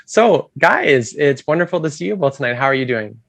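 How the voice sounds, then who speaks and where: A man hosting an interview over a video call, asking questions in a friendly, steady way. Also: on the high side, a little fast, creaky, no room sound. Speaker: host.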